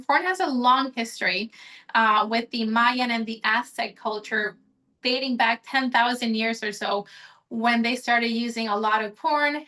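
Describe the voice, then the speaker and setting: A woman from Honduras runs a live online cooking class, explaining each step in a friendly way as she works. Her voice sits a little high and rings bright and clear. It sounds like a computer microphone on a video call. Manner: friendly, explanatory, talks while chopping. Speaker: cooking demo instructor.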